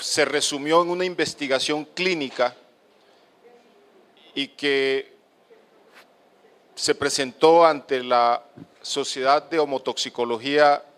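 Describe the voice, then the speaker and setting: A middle-aged man from the Colombian Caribbean coast, with a coastal accent mixed with Medellín's, giving a halting lecture to an auditorium with many fillers. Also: medium pitch, a bit bright, clear, resonant tone. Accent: Colombian, coastal mixed with Medellín paisa. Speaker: speaker at a lecture. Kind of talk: lecture to an audience.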